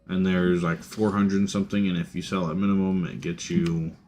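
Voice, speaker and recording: A man's voice: sits low, flat delivery, fairly quick, a little mellow. A young man streaming a game, chatting casually and thinking aloud with fillers and restarts. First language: English. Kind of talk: casual gaming stream chat. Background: faint music and keyboard typing.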